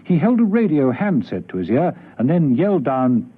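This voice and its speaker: Male spy-serial narrator, lively intonation, dramatic scripted read, narrow vintage broadcast sound. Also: a little high, moderate pace, husky. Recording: old radio broadcast, phone-like band, faint music.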